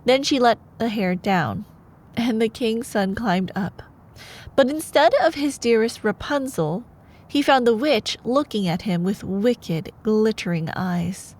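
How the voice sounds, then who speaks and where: A woman acting as a sweet, playful girlfriend with a fairly high voice and a very unhurried pace. She sounds expressive and opinionated, in an intimate ASMR bedtime roleplay. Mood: measured storytelling. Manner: expressive, exasperated asides between measured reading. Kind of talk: intimate ASMR roleplay, storybook reading with commentary.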